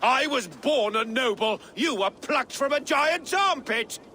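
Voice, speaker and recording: A man's voice: very high-pitched, expressive, measured pace, pushed and bright, a little hiss or hum, exaggerated voice. A man playing an arrogant, mocking noble-born villain lord in a dramatic video game. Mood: scornful. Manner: haughty, mocking.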